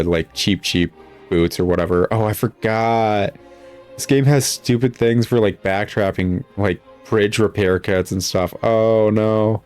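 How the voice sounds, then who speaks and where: A man with a fairly low voice talks casually through a video game as he plays. His manner is sarcastic and exasperated, rambling from one complaint to the next like a critic hosting his own show. Music plays clearly behind him. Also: a little mellow. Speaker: gaming video host, critic.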